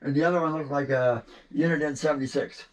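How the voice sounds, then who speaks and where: A man chatting casually and telling anecdotes in an animated way, like a hobbyist showing off a collection. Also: on the high side, quick pace, poor audio. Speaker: CB radio collector. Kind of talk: casual storytelling and chat.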